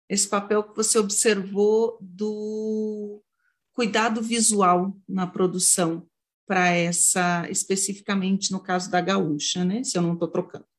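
A woman hosting an academic discussion, putting questions to guests in a thoughtful, spontaneous way and rephrasing as she goes. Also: medium pitch. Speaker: panel host and moderator.

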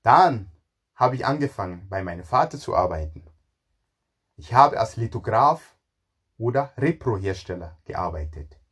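Animated man in his forties. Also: lowish voice, moderate pace, slightly rough. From Ghana, moved to Germany at thirteen. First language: not German. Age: 40s. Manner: animated, emphatic, repeats words for emphasis. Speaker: speaker telling own career story, cook. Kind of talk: informal personal storytelling to an audience.

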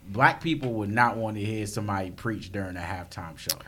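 A man's voice: lowish voice, brisk, sharp tone. A man in a casual group discussion, bluntly pressing his point with pointed questions.

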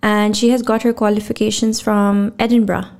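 A woman hosting a podcast interview, chatty and quick with lots of affirming murmurs. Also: medium pitch, level tone, a little mellow. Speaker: podcast host. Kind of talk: casual interview chat, mixing English and Urdu.

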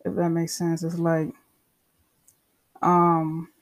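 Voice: medium pitch, monotone, slow pace. A podcaster in their twenties talking in a candid, rambling solo monologue full of swearing and side remarks. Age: 20s. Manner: candid, profane, rambling with asides. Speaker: podcast host.

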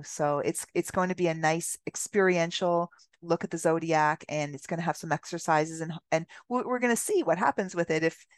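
A woman's voice: lowish voice, no room sound. A woman chatting as a podcast guest, laughing often and talking in a rambling, reflective way. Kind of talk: casual podcast conversation.

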